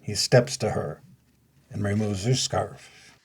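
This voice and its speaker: A man reading scripted announcements and stage directions as a narrator, in a formal, measured way. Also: lowish voice, fairly even intonation, creaky, breathy edge.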